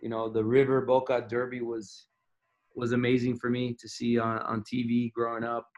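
A man's voice: mid-range voice, completely flat delivery, moderate pace, muffled recording. A man from Miami, a soccer coach, answering interview questions thoughtfully with frequent restarts and fillers. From Miami, Florida.

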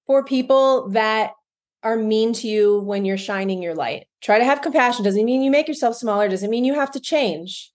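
Woman co-host, casual podcast chat, emphatic and lively, slightly higher voice. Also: normal speed. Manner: animated, emphatic, laughs often.

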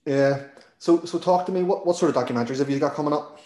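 A man with a Northern Irish accent hosting a podcast and asking questions in a relaxed, conversational way. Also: a little high, fast talker, roomy sound. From Northern Ireland. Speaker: podcast host. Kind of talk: casual interview.

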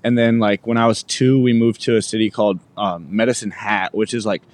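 A young man from Alberta, a musician guest, talking in a relaxed, rambling way full of fillers. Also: lowish voice, brisk, dry recording. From Alberta, Canada. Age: young adult. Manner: laid-back, rambling, with many fillers. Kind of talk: casual interview chat.

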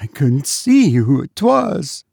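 A man's voice: a little high, sing-song, slow, creaky, darkish tone, breathy edge, no echo, studio quality, exaggerated voice. A man voicing a terrified housemaid in a storybook reading, gasping, faint and hesitant with horror. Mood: horrified, hesitant.

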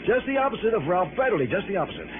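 A man acting an excitable, irritable, chatty American insurance man at the wheel in an early 1960s radio drama, emphatic and rambling. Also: sits high, rapid-fire. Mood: eager, explanatory. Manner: rambling, emphatic, easily exasperated. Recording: muffled old radio recording, loud car noise.

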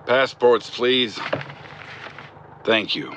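An actor playing a curt, suspicious border officer who questions travelers in terse phrases, in an audio drama. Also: male voice, mid-range voice, creaky, bright, forceful tone, highs cut off, slight background noise, exaggerated voice. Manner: terse, interrogating.

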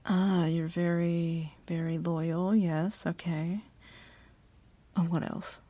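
A woman playing a slow, teasing bossy CEO. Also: fairly low pitch, flat delivery. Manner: slow, confident, teasing, with soft laughs. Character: bossy, teasing female CEO interviewing an applicant. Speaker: ASMR roleplay performer. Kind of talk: flirty ASMR roleplay.

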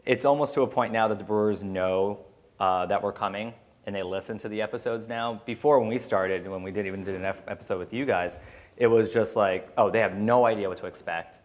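A man's voice: on the low side, a little fast. A man speaking as a podcast host on a panel, telling personal stories in a casual, candid, rambling way with some swearing.

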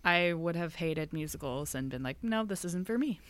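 A young adult woman in a casual podcast conversation, voicing firm opinions and turning emphatic as she argues. Her pitch sits a little low for a woman. The sound is close and dry, with no room echo. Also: moderate pace. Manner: opinionated, gets animated and emphatic. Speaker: podcast co-host. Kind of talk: casual chat.

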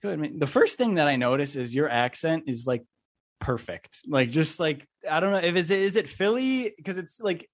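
A man's voice: fairly high pitch, expressive, normal speed. A man in his mid-twenties hosting a podcast, talking casually and rambling with lots of "like". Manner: rambling, leans heavily on "like". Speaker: podcast host.

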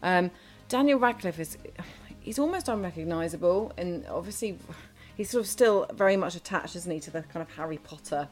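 A woman co-hosting a film and TV review show, her voice sitting a little low. She chats casually and hesitantly, full of fillers and restarts, over faint background music. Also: animated, a little fast, darkish tone.

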